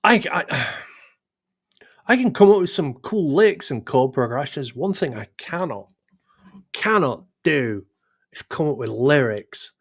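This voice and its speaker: A man's voice: fairly high pitch, expressive, slow pace, slightly rough. Chatty man with a sore throat. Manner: rambling, self-deprecating, stumbling restarts. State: sore throat, losing his voice. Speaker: live stream host. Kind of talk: casual chat reading live chat.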